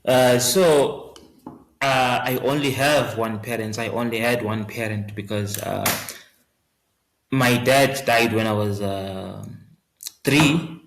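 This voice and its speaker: Slow, hesitant man in his twenties. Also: mid-range voice, fairly even intonation, a little hiss or hum. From Zimbabwe. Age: 20s. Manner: hesitant, many fillers and restarts, soft laughs. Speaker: guest singer. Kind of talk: casual interview answers. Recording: roomy echo.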